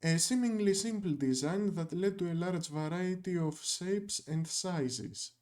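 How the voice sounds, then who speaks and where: Young man narrating, a touch high. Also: unhurried, a little mellow. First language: Greek. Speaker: video essayist. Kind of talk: scripted narration.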